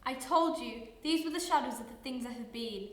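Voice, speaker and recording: A woman's voice: sits high, brisk, natural voice. A teenage girl playing a solemn, stern female ghost guide in a radio play, speaking portentously. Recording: echoey room, background noise.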